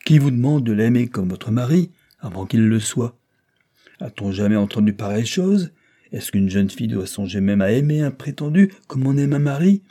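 A man voicing an irate, stubborn older judge who shouts and hectors. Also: fairly low pitch, very animated, normal speed. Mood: furious.

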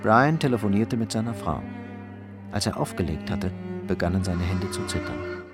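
A man's voice: fairly low pitch, lively intonation, moderate pace. A man narrating a crime story from a script, as in a radio drama. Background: music.

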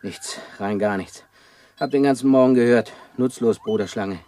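Ein Schauspieler spielt in einem deutschen Hörspiel einen gelangweilten, verkrüppelten Ex-Raufbold, der zum Leser wurde, schlicht und neckend im Ton. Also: male voice, medium pitch, moderate pace, warm tone, lightly acted. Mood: teasing. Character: crippled former brawler turned bookish, bored, teasing survivor. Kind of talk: German radio play acting. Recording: radio play with clear background noise.

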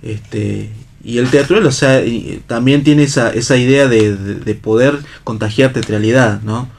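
A man with an Argentine accent talking casually as an interview guest, halting as he searches for words. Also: mid-range voice, vocal fry. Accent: Argentine Spanish. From La Rioja, Argentina. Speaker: theatre actor and director, interview guest.

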